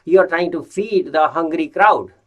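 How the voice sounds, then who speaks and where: Halting male podcast host, somewhat high voice. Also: a bit bright. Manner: halting, many restarts and repeated words. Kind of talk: casual interview questions.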